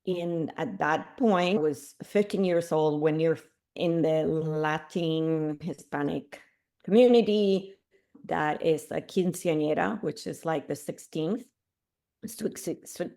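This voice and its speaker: A middle-aged woman from Cuba, a podcast guest, telling her life story in an animated way, with restarts and laughs. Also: low voice, slow pace.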